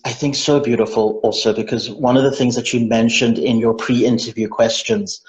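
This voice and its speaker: A man hosting an online interview over a video call, chatty and effusive, with many fillers and restarts. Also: medium pitch. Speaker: interview host. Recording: dull, echoey video call.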